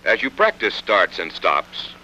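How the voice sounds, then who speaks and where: An American man reading scripted instructional narration for a training film in a measured, instructive manner. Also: on the low side, wildly swooping pitch. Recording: 1960s film soundtrack, faint noise.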